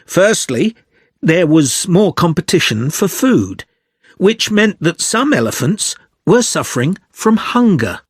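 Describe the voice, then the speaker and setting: Male student doing a presentation, slightly husky, highish voice, explains stuff steadily. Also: dry recording, clean studio sound, heavily acted. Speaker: actor in an exam listening recording.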